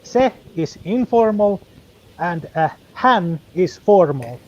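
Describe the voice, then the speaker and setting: A man whose first language is Finnish chats as a guest on a stream. He speaks haltingly, filling the gaps as he hunts for words, in a slightly high voice. The sound comes through dull and lo-fi. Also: lively intonation. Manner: halting, full of fillers and restarts while searching for words. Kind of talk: casual live-stream chat.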